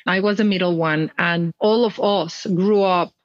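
A woman's voice: on the low side, steady tone, unhurried, no room sound, muffled recording. A middle-aged woman from Mexico, telling her story earnestly and expressively as an interview guest. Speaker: guest, nonprofit founder. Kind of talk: conversational interview.

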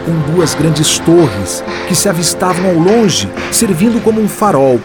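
Brazilian man narrating solemnly over clear music. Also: on the high side, lively intonation, a little slow. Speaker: radio drama narrator. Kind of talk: solemn devotional scripted narration.

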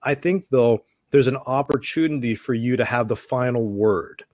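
A middle-aged man from Canada, an author on public speaking, answering interview questions in a measured, explanatory way. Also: darkish tone, dry recording. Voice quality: deep voice. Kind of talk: interview guest.

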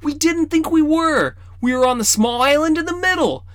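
Storybook: a man doing a high, excitable cheeky boy, dry close sound. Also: moderate pace, no room sound. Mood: pleading. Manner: pleading, excitable. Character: eager cheeky young boy.

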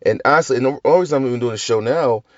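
A man's voice: medium pitch, racing, no room sound, highs cut off. A man hosting a casual solo show about TV series, rambling through tangents with restarts and the odd swear, with a slight cough left over from the flu. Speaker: podcast host reviewing TV shows. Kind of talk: casual solo review chat.

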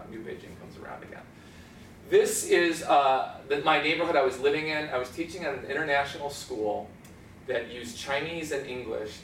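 A man giving a casual, animated storytelling talk as an author, laughing at times and mimicking spoken tones. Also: medium pitch, slight background noise. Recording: roomy, from far off, faint ambience.

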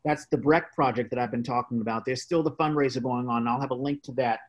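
A man hosting a podcast, reading out announcements in an informal, halting way. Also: mid-range voice, dull audio. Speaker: podcast host. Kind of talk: casual podcast sign-off and announcements.

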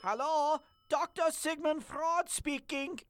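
A man's voice: very high voice, slow pace, fairly bright tone, no echo, exaggerated voice. A man playing a pompous, theatrical Sigmund Freud style psychoanalyst in a spoof radio commercial. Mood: clinical, pompous.

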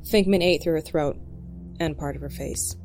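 A woman's voice: low voice, normal speed, darkish tone, soft background music. An actress playing a tough, short-tempered female FBI agent in an audio drama, brusque and authoritative. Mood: tense, demanding.

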